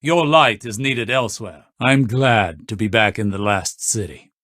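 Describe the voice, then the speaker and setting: A man playing a composed, scholarly mentor in a video game, with measured, formal and authoritative delivery. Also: on the low side, a bit bright, dry recording, clean studio sound. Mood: warm, solemn. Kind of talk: scripted game character barks.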